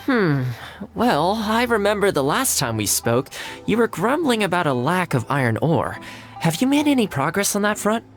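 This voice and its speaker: An actor playing a friendly, shrewd young fixer in a video game, courteous and measured. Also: male voice, high voice, big pitch swings. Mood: casual, guarded. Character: friendly, well-connected young man, polite and shrewd fixer. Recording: game music behind the voice. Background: game music.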